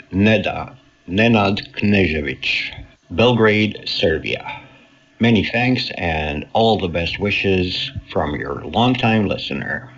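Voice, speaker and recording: A man presenting listener mail as a radio host in measured, deliberate phrases, heard through a shortwave radio capture. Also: low voice, creaky, a bit bright, husky, highs cut off.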